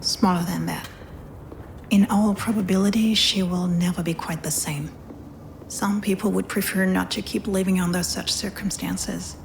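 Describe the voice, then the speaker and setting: An actress playing a frank, composed, sympathetic hospital doctor in a visual novel, speaking in a measured, professional way. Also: female voice, lowish voice, fairly even intonation, gravelly, a little hiss or hum, low-quality recording. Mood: grave, sympathetic.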